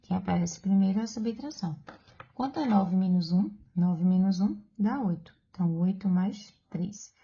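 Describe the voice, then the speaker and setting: A woman with a Brazilian Portuguese accent explains exam math one step at a time, pausing to check in with the viewer. Her voice is dark and mellow with a gravelly edge. The recording sounds dull and lo-fi. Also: medium pitch. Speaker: math teacher.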